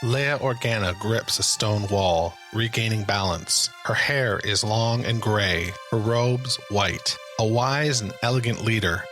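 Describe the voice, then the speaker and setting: A man delivering dramatic, scripted present-tense narration for an audio drama. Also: fairly low pitch. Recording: narration under loud music.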